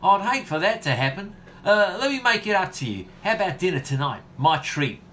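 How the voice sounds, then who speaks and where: Man playing a breezy flirt of a surfer, lively pitch. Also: brisk, a little hiss or hum. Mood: cheeky, coaxing. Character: flirty, breezy male surf instructor, cheekily confident. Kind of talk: radio comedy acting.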